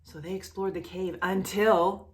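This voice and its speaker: A woman reading a picture story aloud to young children as a storyteller, playful and expressive, speaking directly to the child with questions and exaggerated reactions. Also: mid-range voice, unhurried. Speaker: children's storyteller and channel host.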